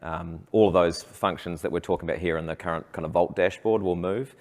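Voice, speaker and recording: A man presenting at a conference, answering questions in a relaxed, chatty way. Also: low-pitched, brisk. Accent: non-European English. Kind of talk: conference session Q&A. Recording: long reverb of a conference hall.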